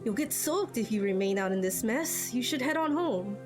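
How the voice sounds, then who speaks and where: A woman's voice: fairly high pitch, expressive, normal speed, natural voice. A woman voicing a kindly, practical male town guard in a game. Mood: concerned. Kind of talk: amateur game character voice acting. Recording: game music behind.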